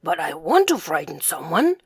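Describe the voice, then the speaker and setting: A woman voicing a large, growly male bear who is stubborn and wants to frighten others, speaking low and insistent. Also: huge pitch swings, normal speed, vocal fry, a little mellow, husky, heavily acted.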